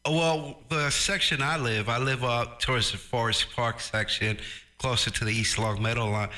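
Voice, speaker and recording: A middle-aged man talks casually over a ham radio link. His voice is strikingly bright and forward, at an ordinary male pitch. He sounds like an operator chatting with a fellow hobbyist. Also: fairly even intonation, moderate pace. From Brooklyn, New York. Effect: ham radio transmission.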